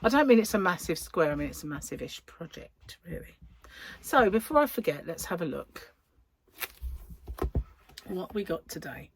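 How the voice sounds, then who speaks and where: A woman's voice: lowish voice, sing-song, normal speed, long pauses, slightly rough, dry recording. A woman hosting a podcast, chatting casually and rambling as she thinks aloud. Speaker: podcast host. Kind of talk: casual chat.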